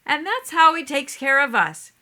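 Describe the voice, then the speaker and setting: A woman's voice: high-pitched, very animated, moderate pace, bright, forceful tone, heavily acted. A woman playing a playful, trusting, cheerful young boy with an eager, guileless way of talking in a stage play. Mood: earnest, bright.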